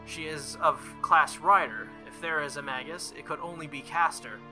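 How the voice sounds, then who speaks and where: A man voicing a formal, proud young woman knight with a calm, measured manner in a visual novel. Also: fairly high pitch, music in the background, close to an everyday voice. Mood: solemn.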